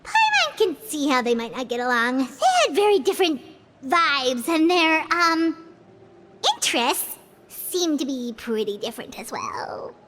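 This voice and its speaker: A tiny, excitable fairy companion from a video game, extremely high and squeaky. She is childlike and animated, exclaiming in lively swoops at an unhurried pace. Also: slight background noise, heavily acted. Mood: chatty, musing. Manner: exclaiming, refers to herself in third person.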